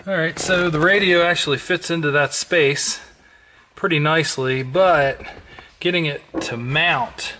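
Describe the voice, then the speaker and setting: A man's voice: fairly high pitch, measured pace, pushed and bright. Middle-aged man thinking aloud, noisy recording. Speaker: vlogger. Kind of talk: casual how-to vlog narration. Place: car interior and indoor workbench.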